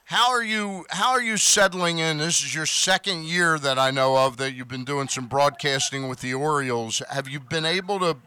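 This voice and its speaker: A male sports talk host with a fairly high, bright voice interviews in a conversational way. His delivery is clean and smooth, recorded dry. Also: normal speed, few pauses.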